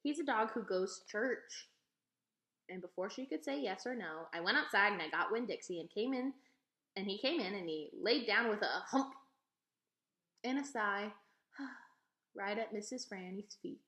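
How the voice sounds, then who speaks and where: A woman reading a children's novel aloud as an expressive storyteller. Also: medium pitch, moderate pace. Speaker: read-aloud reader. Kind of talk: expressive children's storybook narration, told as a young girl. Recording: echoey, roomy sound.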